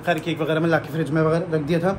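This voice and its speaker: A young man chatting casually to a phone camera held at arm's length, in an excited vlog style. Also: a little high, rapid-fire, background noise. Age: young adult. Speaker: vlogger. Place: indoors at home at night, dim room. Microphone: phone held at arm's length, earphones.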